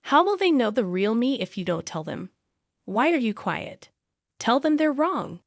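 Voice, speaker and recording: A woman's voice: on the high side, very animated, moderate pace, no room sound, clean studio sound. A woman voicing a wronged, defiant young wife in a narrated story, challenging with questions. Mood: indignant.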